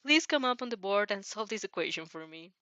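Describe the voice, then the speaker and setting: A woman's voice: mid-range voice, expressive, fairly quick, sharp tone, dry recording, lo-fi sound. A woman voicing a mild, encouraging male schoolteacher in a fan fiction reading, speaking politely and a little hesitantly. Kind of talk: fan fiction read aloud.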